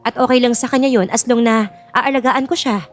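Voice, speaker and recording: A woman's voice: on the high side, fairly even intonation, a little fast, faint music behind, lightly acted. A woman playing a frank, determined twenty-two-year-old in an audio drama, speaking bluntly with a Filipino accent. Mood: frank, defiant. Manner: blunt, unapologetic.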